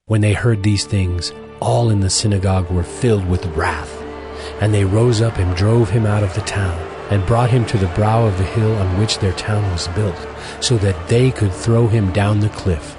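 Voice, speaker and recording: A man narrating scripture from a script in a measured, formal manner. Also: fairly low pitch, steady tone, music in the background.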